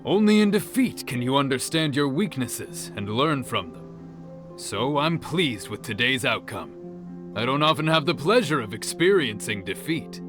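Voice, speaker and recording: Earnest, level-headed young fighter for a game; plain, sincere delivery. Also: male voice, medium pitch, a little slow. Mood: reflective. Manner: calm, plain-spoken, sincere. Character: earnest male tournament fighter, humble. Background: game music.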